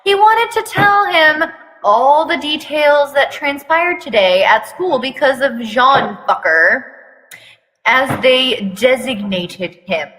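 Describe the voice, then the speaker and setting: A woman doing a dramatic reading of fan fiction, with sarcastic, deadpan asides. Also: high voice, slow pace. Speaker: reader performing a fan fiction aloud. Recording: long hall-like reverb.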